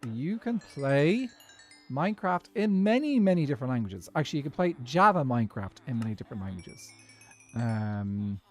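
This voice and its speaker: An Irish man in his twenties or thirties talks through a relaxed game stream in an easy, rambling way, breaking off to answer viewers. His voice sits at an ordinary middle pitch. Soft music plays faintly behind him. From Ireland. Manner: rambling, chatty, reads and answers chat. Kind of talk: casual livestream chat while gaming. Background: faint background music.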